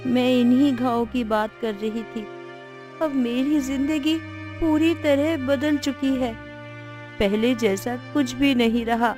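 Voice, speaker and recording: A woman's voice: high voice, takes it slowly. A woman playing sorrowful village women in a cartoon moral story, speaking in a plaintive, dramatic way. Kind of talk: Hindi cartoon story dialogue. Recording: background music under the voice. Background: music.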